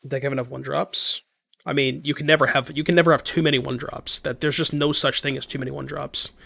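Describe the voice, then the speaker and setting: Stuffy-nosed man, gravelly voice. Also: medium pitch, steady tone, quick pace, bright, forceful tone. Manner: chatty, thinks aloud, reads chat, many restarts. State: stuffed up, voice a little shot. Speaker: streamer playing a card game. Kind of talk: casual gameplay commentary.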